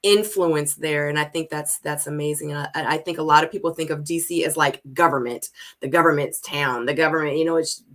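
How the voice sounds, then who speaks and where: Woman, interview host, chatty, a bit low-pitched, on a video call. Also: steady tone, brisk, few pauses, a bit bright. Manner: chatty, calls guest honey. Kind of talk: live online interview.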